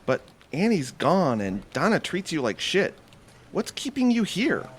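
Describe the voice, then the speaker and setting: Guarded, expressive young athlete. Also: male voice, fairly high pitch, unhurried, a little hiss or hum. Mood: probing. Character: guarded young male pro athlete, wry and stubborn. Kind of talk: scripted audio theatre comedy acting.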